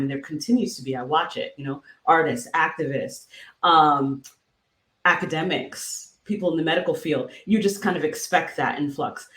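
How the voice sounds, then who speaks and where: A podcast co-host and real estate agent talking through practical questions about where to settle, in a thoughtful, conversational way. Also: female voice, sits low, reverberant. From moved to Boston.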